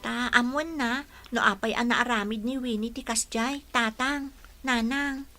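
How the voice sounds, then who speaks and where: A woman's voice: fairly high pitch, steady tone, measured pace, pushed and bright, faint music behind. A woman playing an indignant young schoolgirl with an emphatic delivery in a radio drama. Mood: complaining.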